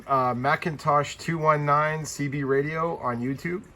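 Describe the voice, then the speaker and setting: A man's voice: a little high, fairly even intonation, a little slow. A laid-back, friendly man chatting casually as a CB radio operator, using radio jargon. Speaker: CB radio operator and YouTube channel host. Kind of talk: casual radio contact chat. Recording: loud radio static and hiss.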